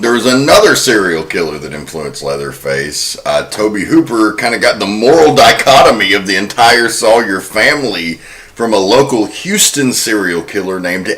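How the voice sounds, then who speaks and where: A man's voice: on the low side, sing-song, moderate pace, a bit bright. A man hosting a podcast, casually explaining film history in an informal, sometimes profane way. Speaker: podcast host.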